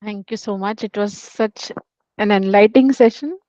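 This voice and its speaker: A woman's voice: mid-range voice, normal speed, mellow, no room sound, lo-fi sound. A woman giving grateful closing remarks to a speaker, hesitant and full of fillers, over a video call. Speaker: organizer thanking the speaker.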